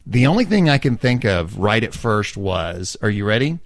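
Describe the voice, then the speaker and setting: A man's voice: lowish voice, very animated, normal speed, no room sound. A man co-hosting a podcast in a casual chat, rambling and restarting his sentences as he tells a story. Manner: rambling, with many restarts and chuckles. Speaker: podcast co-host.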